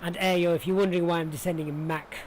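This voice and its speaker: A man's voice: on the high side, level tone, quick pace, a little mellow. Yorkshire man chatting on a live stream. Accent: Yorkshire English. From Sheffield, England. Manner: chatty, informal, thinking aloud. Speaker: flight simulator livestreamer. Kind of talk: casual live-stream commentary, chatting with viewers. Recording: clear background noise.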